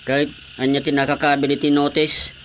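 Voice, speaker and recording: An actress with a Filipino accent playing a flustered village gossip in a radio comedy drama. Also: female voice, low voice, flat delivery, fairly quick, a little hiss or hum, lightly acted. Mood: puzzled.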